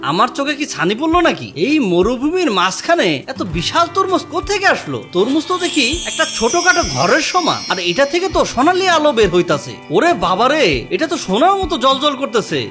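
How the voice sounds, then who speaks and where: A man's voice: very high voice, big pitch swings, fairly quick, hardly any pauses, over music. A man voicing a greedy, boastful, bumbling village uncle in a cartoon comedy, melodramatic and blustering. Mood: amazed. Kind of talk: Bengali cartoon comedy acting.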